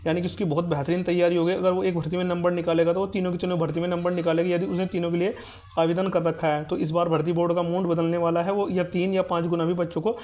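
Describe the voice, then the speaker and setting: A man's voice: sits high, flat delivery, fast talker. A man giving an informal exam update to students, repeating himself to reassure and instruct them. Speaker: exam news and guidance YouTuber. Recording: dull, lo-fi muffled sound.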